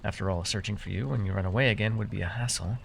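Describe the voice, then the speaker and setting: A man's voice: lowish voice, fast talker, vocal fry, slightly rough, full character voice. A man playing an easily flustered, teasing but caring fae forest guide in a fantasy roleplay, with exasperated banter. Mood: mildly exasperated. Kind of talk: immersive fantasy roleplay ASMR acting.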